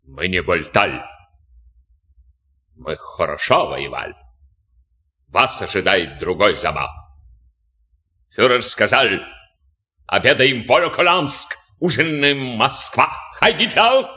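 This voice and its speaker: An arrogant German officer. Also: male voice, lowish voice, very animated, slow, long pauses, sharp tone, husky, exaggerated voice. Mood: boastful. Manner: broken Russian, arrogant, mocking. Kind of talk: war film acting.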